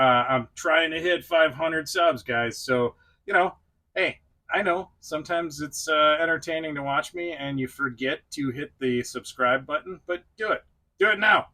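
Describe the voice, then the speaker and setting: A man's voice: on the high side, bright, forceful tone. A middle-aged man hosting a casual review vlog, chatty and self-deprecating, talking straight to the viewer. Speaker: toy review channel host.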